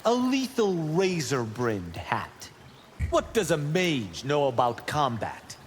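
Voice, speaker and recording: An actor playing a boastful, brash young warrior monk in video game banter. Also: male voice, a little high, sing-song, slow. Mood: taunting. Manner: proud, brash, self-assured. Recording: long hall reverb, clear background noise.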